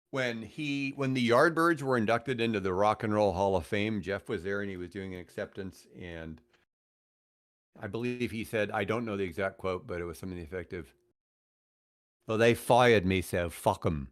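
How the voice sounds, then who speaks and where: A man's voice: fairly low pitch, moderate pace. A middle-aged American man telling stories in casual conversation as a podcast guest, rambling and enthusiastic. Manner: rambling, enthusiastic about music. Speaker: podcast guest, guitarist and radio host.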